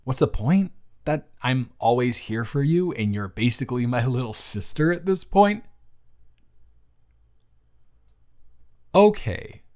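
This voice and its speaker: A man's voice: medium pitch, animated, normal speed, darkish tone, lightly acted. A young man playing a teasing, affectionate best friend of someone's brother in a casual, slangy romantic roleplay audio drama. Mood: concerned.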